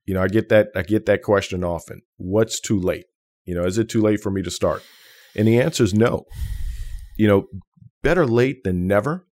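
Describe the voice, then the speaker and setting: A man in his forties with a fairly low voice, talking through retirement saving on a finance podcast. He is easygoing and good-humored, and explains things patiently. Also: normal speed, a little mellow. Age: 40s. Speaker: financial advisor and podcast co-host. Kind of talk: casual financial podcast chat.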